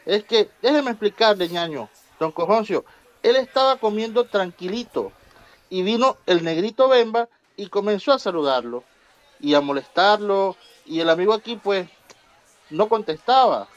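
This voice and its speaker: A man plays a humble immigrant in a comic radio soap. His voice is high and swoops expressively. He explains things earnestly and respectfully. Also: animated, moderate pace, muffled recording. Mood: earnest explaining. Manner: deferential, explanatory. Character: polite, earnest Ecuadorian immigrant godfather pal. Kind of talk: humorous radio soap acting.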